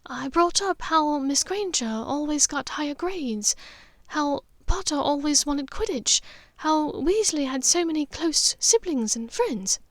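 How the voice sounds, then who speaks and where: A middle-aged noble witch mother, as a female narrator puts her on, composed and cunning. Her voice is high and often breathy, with hushed, deliberate phrasing. It is recorded close and dry, like an audiobook. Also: lively intonation, dry recording.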